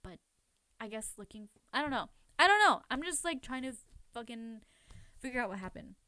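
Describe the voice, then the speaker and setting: A woman's voice: on the high side, big pitch swings, moderate pace, pushed and bright. A woman hosting a casual, chatty true crime podcast, talking informally in a rambling, self-questioning way with lots of filler words. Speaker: podcast host.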